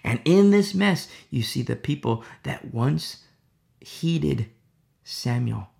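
A man teaching a Bible study sermon in a rhetorical style. He keeps asking "you see?" and drops into hushed asides. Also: mid-range voice, lively intonation, slow, vocal fry.

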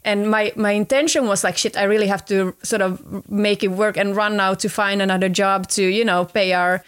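A woman's voice: mid-range voice, steady tone, normal speed, clean tone. Woman in her forties, casual chat. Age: 40s. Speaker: podcast guest.

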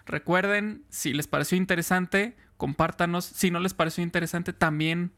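A man's voice: sits high, steady tone, normal speed, fairly bright tone. A Mexican man hosting a podcast, chatting casually and wrapping up with friendly thanks to listeners. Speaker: podcast host. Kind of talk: casual chat.

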